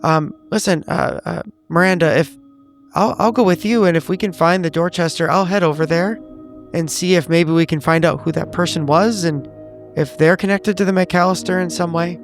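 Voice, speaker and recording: A man playing a tabletop roleplaying game, improvising in-character talk with careful, measured wording. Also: fairly high pitch, steady tone, soft background music.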